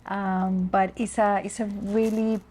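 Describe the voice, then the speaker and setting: A woman from the Dominican Republic, a native Spanish speaker, talking as a guest about leadership in a slow, thoughtful way with pauses and fillers. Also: medium pitch, level tone, warm tone. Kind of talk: interview conversation.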